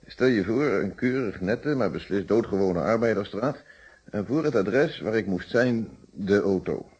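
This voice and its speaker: Low, husky police inspector. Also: male voice, lively intonation, measured pace, darkish tone, dull audio, full character voice. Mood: reflective, explanatory. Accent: Netherlands Dutch. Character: police inspector, steady, reflective, recounting his investigation. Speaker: actor in a detective radio play. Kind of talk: Dutch radio drama, conversational storytelling.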